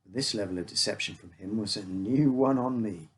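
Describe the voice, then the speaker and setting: Husky-voiced man reading an uncanny tale. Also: on the low side, brisk. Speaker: author reading his own story. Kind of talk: scripted first-person story narration, uncanny tale.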